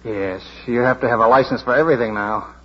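A man's voice: medium pitch, quick pace, slight background noise, natural voice. An actor playing a boastful, awkwardly romantic young working man in an old radio drama, brash and blustering. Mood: brash, proud. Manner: brash, blustering, self-admiring. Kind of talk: 1940s radio drama acting. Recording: muffled old radio broadcast with music.

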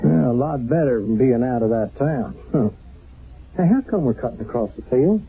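A jumpy young American GI, with a very husky, rough voice that swings up and down as he talks in folksy slang. It is old radio drama, muffled and thin. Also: mid-range voice, normal speed, faint music behind. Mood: chatty, uneasy. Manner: colloquial, uneducated grammar, excitable. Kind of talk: 1970s radio drama acting. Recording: muffled narrow-band 1970s radio broadcast.

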